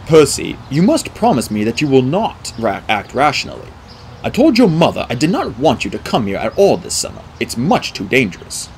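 Man voicing a measured, dignified middle-aged centaur mentor. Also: mid-range voice, huge pitch swings, slight background noise. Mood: earnest warning. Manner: measured, formal, deliberate. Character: wise, kindly middle-aged male centaur teacher, grave and dignified. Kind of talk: audiobook character dialogue.

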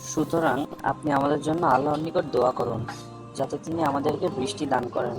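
A man's voice: on the high side, huge pitch swings, a little fast, vocal fry, heavily acted, poor audio. A young man playing a friendly, earnest student who explains religion to his friend in scripted cartoon dialogue, with music behind. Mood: earnest, instructive.

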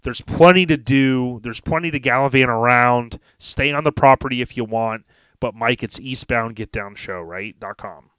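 A man's voice: medium pitch, normal speed, smooth, no room sound, low-quality recording. Chatty man hosting a podcast. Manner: relaxed, chatty, friendly. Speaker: podcast host. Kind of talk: casual podcast interview.

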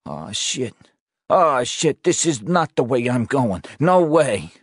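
A man's voice: a little high, sing-song, measured pace, dry recording, studio quality, voice pushed up. A man voicing a panicked, swearing New police detective trapped under rubble. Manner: frantic, swearing.

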